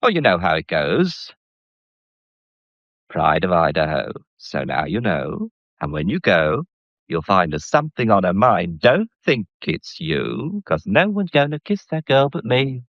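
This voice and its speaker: An actor in a radio drama playing a smug, taunting man who relishes his hold over others, speaking in a theatrical, drawn-out, mocking way. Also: low-pitched, sing-song, dry recording, full character voice. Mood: teasing, mocking. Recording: slightly dull, dry studio sound.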